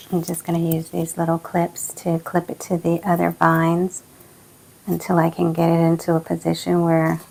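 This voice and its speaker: Husky, hoarse woman, slow and low. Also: a little hiss or hum, poor audio. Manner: relaxed, soft-spoken, many fillers. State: hoarse, losing her voice. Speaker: plant channel host. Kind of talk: casual vlog chat while repotting. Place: indoor plant room.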